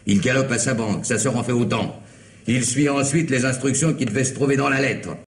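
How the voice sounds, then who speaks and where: Actor as an irascible police chief, roomy old recording. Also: male voice, medium pitch, brisk, a little hiss or hum, natural voice. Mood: exasperated. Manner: scolding, sarcastic, exclamatory. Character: irascible, sarcastic French police commissioner berating his men. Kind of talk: old French radio mystery drama acting.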